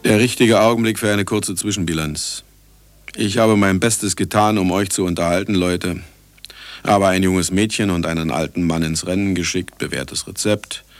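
An actor playing an irascible older scientist who also narrates, theatrical and commanding, in a German radio play. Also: male voice, deep voice, normal speed, no room sound. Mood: wry, commanding.

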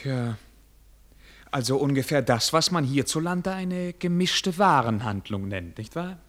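An actor playing a haughty, naive German prince in a radio play, speaking in a formal, stilted and hesitant manner. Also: male voice, medium pitch, expressive, unhurried, natural voice. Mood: puzzled, impressed. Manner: formal, stilted, hesitant with affected filler sounds.

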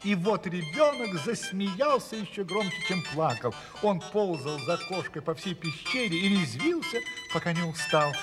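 A man telling a children's fairy tale as an expressive, theatrical narrator. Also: high-pitched, normal speed, hardly any pauses, in a large hall, over music. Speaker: storyteller, narrator.

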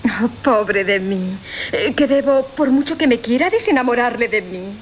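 Woman playing a high-voiced, coquettish Castilian gold-digger. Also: animated, normal speed, lightly acted. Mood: teasing. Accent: Castilian Spanish. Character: coquettish greedy young woman, feigning modesty while begging gifts. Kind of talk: 1980 Spanish radio theatre acting of classic farce. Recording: faint background noise, old radio broadcast.

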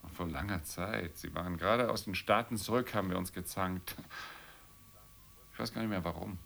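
A man's voice: low-pitched, moderate pace, vocal fry, a bit bright. An actor playing a weary, middle-aged middle-class man who grumbles and muses wryly in a naturalistic radio drama. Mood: weary, musing.

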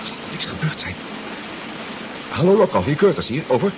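A worn-out, rattled submarine pilot, speaks haltingly over a loud engine drone. His voice sits fairly high, and he stumbles and repeats himself. It is a muffled 1970s radio play recording. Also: sing-song, noisy background. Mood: weary, anxious, then calling out. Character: distraught, exhausted submarine pilot reporting by radio. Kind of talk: 1970s radio drama acting.

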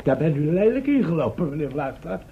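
An actor in a Dutch radio play playing an excitable, cheeky young man trapped in a castle. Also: on the high side, brisk, creaky. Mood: dry teasing. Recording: dull lo-fi old radio recording.

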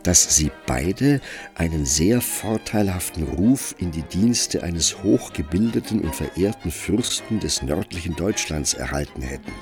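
An actor narrating a literary radio drama as a measured storyteller of a romantic tale. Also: male voice, sits low, exaggerated voice. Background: music under the narration.

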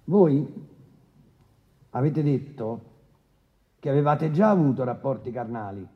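An actor playing a brisk, dismissive presiding judge who interrupts and repeats himself in a courtroom drama. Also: male voice, medium pitch, lively intonation, lightly acted. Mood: officious. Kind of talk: stage-style courtroom radio drama. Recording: dull sound in a reverberant hall.

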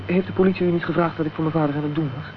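Guy acting as young injured activist in an old radio play, evasive and halting, muffled sound w some noise. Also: on the high side, fairly even intonation, lightly acted. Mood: shocked, questioning. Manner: hesitant, evasive. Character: injured, guarded, idealistic young political activist. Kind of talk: Dutch radio drama acting. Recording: dull, noisy old radio recording.

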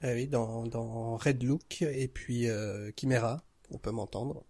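A man's voice: medium pitch, steady tone, slow, dark tone, no echo. A man in his thirties from France, chatting informally as a podcast guest and telling his story with hesitations. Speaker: podcast guest, audio fiction creator. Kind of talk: casual chat.

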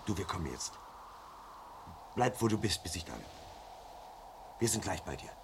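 Casting: intense police inspector and protective husband, low gravelly voice, vintage radio thriller with clear noise. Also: male voice, very animated, normal speed, full character voice. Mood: shaken, soothing. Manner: urgent, intense, anguished. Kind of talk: 1970s German radio crime drama acting. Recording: noisy 1970s radio play recording.

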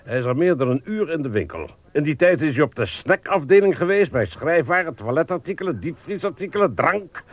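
A man's voice: fairly high pitch, big pitch swings, brisk, hardly any pauses, no room sound. An actor in a radio drama playing an impatient, sardonic security boss interrogating his underling. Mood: suspicious, sardonic. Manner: probing, sardonic, gruffly colloquial. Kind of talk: radio play acting.

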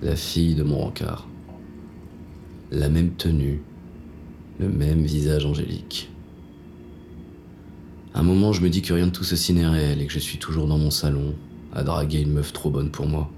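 An actor playing a bitter, world-weary police inspector in a noir audio drama, gruff and slangy as he narrates his own story. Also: little pitch movement, moderate pace, pauses often, dark tone, a little hiss or hum. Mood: grim, sardonic. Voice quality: very deep, gravelly. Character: jaded, hard-boiled male police inspector, bitter and shaken.

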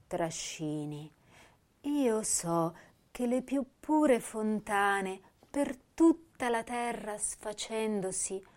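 Sensitive young poetess, female, slow delivery, measured lyrical declamation, intense, radio drama recital. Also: medium pitch, a little mellow. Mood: anguished pleading. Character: intense, hypersensitive young woman poet voicing her verse.

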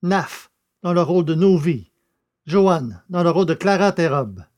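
Slightly high-pitched male narrator. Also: expressive, a little slow, a little mellow. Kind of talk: scripted narration and cast credits.

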